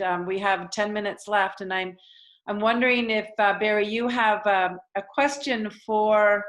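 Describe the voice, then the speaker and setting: A woman hosting an online group discussion, speaking in a halting, thinking-aloud way as she facilitates. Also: mid-range voice, a bit bright. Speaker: host moderating an online panel. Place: online video call.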